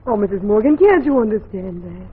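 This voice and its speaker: Naive young prairie girl, very breathy, voice goes up and down, old radio drama. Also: mid-range voice, lively intonation, brisk. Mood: dreamy, puzzled. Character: sweet, naive, wistful young frontier woman. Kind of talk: 1940s radio drama acting. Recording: muffled 1940s radio recording.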